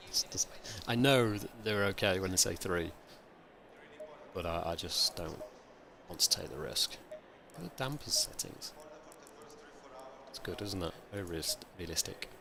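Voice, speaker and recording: A man streaming a sim race, with a croaky, hungover voice, rambling and thinking aloud in casual chat. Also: sits low, a little slow, pauses often, crowd noise. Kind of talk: casual live-stream commentary.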